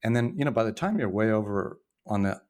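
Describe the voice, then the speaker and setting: A man in his sixties with a very low, deep voice talks through his memories as a podcast guest. He is reflective and halting, often restarting his sentences as he tells his story. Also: expressive, darkish tone. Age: 60s. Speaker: podcast guest, former journalist. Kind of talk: casual storytelling interview.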